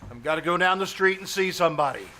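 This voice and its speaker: A man's voice: sits high, animated, fast talker, bright, forceful tone. A man playing a pompous, easily enraged boss in a live re-enacted 1940s radio comedy, formal, fussy and sarcastic. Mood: exasperated. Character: pompous, exasperated male boss, courtly to ladies, easily enraged.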